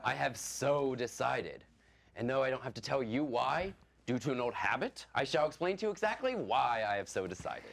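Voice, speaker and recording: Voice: medium pitch, animated, normal speed, creaky, a little hiss or hum. Stage actor doing a pompous little tyrant, theatrical, big swoopy grandiose speeches. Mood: imperious. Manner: grandiose, declaiming, dramatic. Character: pompous, power-drunk actor lording a dollar over his troupe. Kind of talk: theatrical stage play acting.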